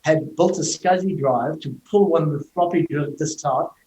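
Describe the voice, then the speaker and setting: A man's voice: fairly high pitch, normal speed, warm tone, a little hiss or hum. A middle-aged man with a South African accent who gives fluent, explanatory interview answers over a video call and fills his speech with "you know". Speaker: tech company executive, guest. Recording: roomy echo.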